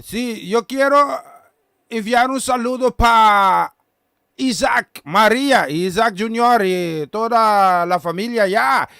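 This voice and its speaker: A man with a Curaçao accent hosting a gospel radio show, greeting listeners in a halting mix of languages, Papiamentu being his first. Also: very high voice, creaky, sharp tone, smooth, dry recording, studio quality. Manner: halting, switches between languages, sends blessings. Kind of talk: casual radio chat with greetings. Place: radio studio.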